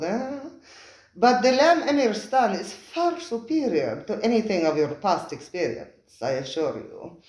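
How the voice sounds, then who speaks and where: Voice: medium pitch, voice pushed up, natural voice. A reader voicing an effusive, purring male restaurant owner who fawns over his guests and draws out his words. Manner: fawning, drawn-out, repeats himself. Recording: roomy echoing room.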